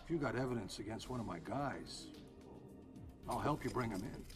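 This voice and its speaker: A man's voice: medium pitch, lively intonation, normal speed, over music, lightly acted. A man playing a confident, smug private security boss in a video game scene. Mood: smug, cool. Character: self-assured male private security company boss. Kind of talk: video game cutscene acting.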